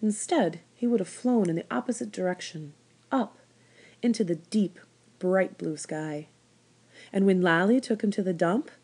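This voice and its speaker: A woman narrates a children's story from the page. Her voice sits a little low. The reading is expressive, in the manner of a school read-aloud. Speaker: storybook reader, school staff member.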